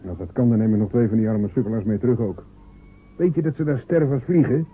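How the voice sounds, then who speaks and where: Male actor as a small, stubborn fisherman; earnest, defiant; husky tone, thin lo-fi radio sound. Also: medium pitch, quick pace, lightly acted. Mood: determined. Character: proud small fisherman John, stubborn, wants respect. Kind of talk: radio play acting. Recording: narrow phone-like old radio recording.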